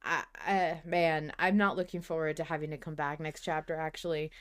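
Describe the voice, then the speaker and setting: A woman hosting a podcast, chatting casually and emphatically, with informal, animated delivery. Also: low-pitched, brisk, clear, resonant tone. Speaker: podcast host. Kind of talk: casual fan podcast chat.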